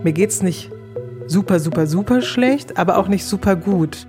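A woman's voice: mid-range voice, expressive, normal speed, music in the background. A woman in her thirties, an interview guest, casually recalling her own experience. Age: 30s. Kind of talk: casual spoken recollection.

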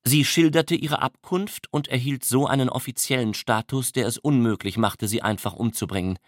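A man's voice: mid-range voice, moderate pace, dry recording. Dry-recorded man narrating a literary tale.

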